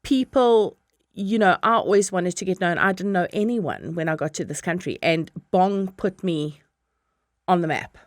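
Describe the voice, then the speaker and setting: A woman's voice: on the low side, normal speed, no room sound, studio quality. A South African woman guest on a podcast, chatting casually, laughing often and acting out her own past thoughts. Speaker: podcast guest, business owner. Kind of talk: casual interview chat.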